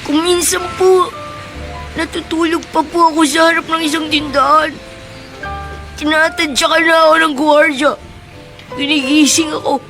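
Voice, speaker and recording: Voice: high-pitched, fairly even intonation, normal speed, long pauses, over music. An actor playing a talkative, eager young street boy in a radio drama, speaking politely and earnestly.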